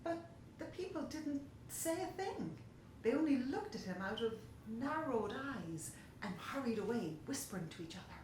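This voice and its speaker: A woman's voice: on the high side, sing-song, moderate pace. A woman narrating a children's story expressively, in the manner of a storyteller reading aloud. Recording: very echoey room, faint hiss.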